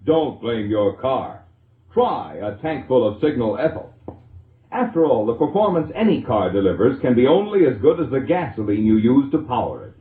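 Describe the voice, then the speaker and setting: An American man reading scripted 1940s radio advertising as an announcer, with a polished, emphatic salesman delivery. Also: mid-range voice. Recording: vintage radio recording, roomy echo, muffled lo-fi.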